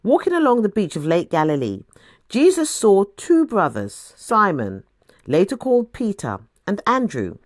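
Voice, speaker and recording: A woman reading scripture as a dramatic storyteller, deliberate, with emphatic pauses. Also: mid-range voice, huge pitch swings, no room sound, clean studio sound. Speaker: scripture reader. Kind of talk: expressive scripted Bible narration.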